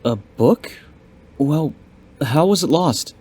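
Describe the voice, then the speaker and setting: A man playing an eager, polite young martial arts student in an audio drama, earnest and expressive, in an echoey recording. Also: a little high, slow pace. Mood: curious, surprised. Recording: echoey, reverberant, faint background noise.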